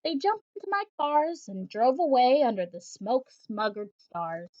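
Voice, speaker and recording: A woman reads a rhyming picture book aloud at home, her delivery bouncing along with each rhyme in a fairly high voice. The recording is dull and muffled at the top end and completely dry, with no room echo. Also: normal speed. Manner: animated, rhythmic rhyming delivery. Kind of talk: expressive read-aloud of a rhyming storybook.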